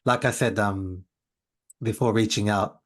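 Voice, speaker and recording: A man hosts a podcast and talks with his guest in an easy, conversational way. His voice sits somewhat low. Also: fairly even intonation, a little slow. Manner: conversational, uses "you know". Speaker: podcast host. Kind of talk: scripted intro, then casual interview.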